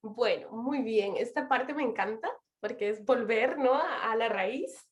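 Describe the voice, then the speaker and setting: A young adult woman from Costa Rica with a Costa Rican Spanish accent, telling her own story as an interview guest in an unhurried way with frequent laughs. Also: fairly high pitch. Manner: unhurried, laughs often, warm pauses. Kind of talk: relaxed personal storytelling.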